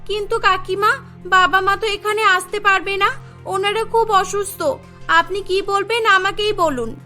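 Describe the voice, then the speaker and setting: Woman as a very high, bright young girl. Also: steady tone, a little slow, soft background music, exaggerated voice. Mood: earnest, respectful. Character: hardworking young girl, dutiful poor daughter running a snack shop.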